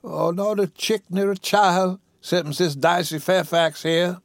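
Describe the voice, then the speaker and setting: A man's voice: high voice, fairly even intonation, measured pace, no echo, studio quality, pitched above the performer's own voice. A man voicing a sickly, pious old widow with a weak, plaintive voice and folksy Southern dialect in a storybook reading. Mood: earnest, weary.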